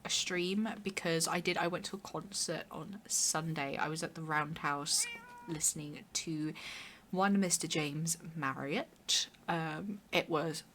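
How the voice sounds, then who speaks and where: A young woman with a hoarse, raspy voice, chatting casually and rambling while streaming a game. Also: low voice, unhurried, fairly bright tone, a little hiss or hum. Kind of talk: casual chat while gaming.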